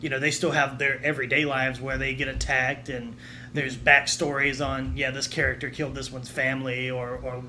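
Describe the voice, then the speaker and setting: Male podcast panelist, casual conversational delivery, bright edge, slightly noisy recording. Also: mid-range voice, flat delivery, normal speed. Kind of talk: casual chat. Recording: noticeable background noise.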